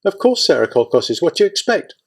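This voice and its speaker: Young ex-prisoner, wary and plain, as a guy reading does him, slightly higher. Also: sing-song, fairly quick, slightly rough, full character voice. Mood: puzzled. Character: young Soviet man, former prisoner, wary.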